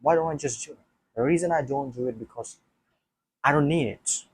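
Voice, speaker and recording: Non-native English male, husky mid voice, self-talk to camera, repeats and restarts a lot. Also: mid-range voice, brisk. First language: not English. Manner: thinking aloud with many restarts and repeats. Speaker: language learner. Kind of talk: casual solo talk to camera.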